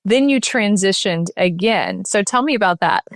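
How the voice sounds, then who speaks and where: A woman's voice: mid-range voice, lively intonation, normal speed, dry recording. Woman host with a dry, close voice. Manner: conversational, affirming, rephrases questions. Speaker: podcast host. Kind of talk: interview questions.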